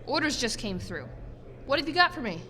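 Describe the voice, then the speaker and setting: Woman acting a high-voiced, sarcastic space pilot. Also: big pitch swings, fairly quick, exaggerated voice. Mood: wry, confident. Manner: wry muttering, exclaims and swears. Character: feisty, sarcastic young woman space pilot on a solo mission. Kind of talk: sci-fi audio drama acting. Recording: loud vehicle and ambient effects noise.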